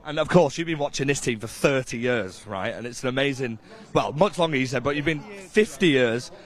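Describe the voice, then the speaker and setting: A man's voice: mid-range voice, expressive, brisk. A man working as a roving presenter, chatting directly and upbeat with fans in live outdoor interviews. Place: outdoors outside a stadium.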